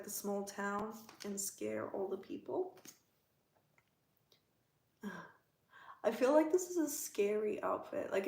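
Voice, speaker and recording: A woman's voice: medium pitch, vocal fry, echoey room. A woman hosting a casual livestream, chatting and teasing as she answers viewers' comments from home. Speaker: livestream host.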